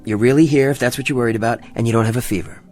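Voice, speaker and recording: A man's voice: mid-range voice, steady tone, very fast, darkish tone, faint music behind, natural voice. An actor playing a kindly, patient man traveler in an audio drama, speaking in a natural, tentative, soothing way. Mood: reassuring. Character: kindly, reassuring male fellow traveler, puzzled but patient.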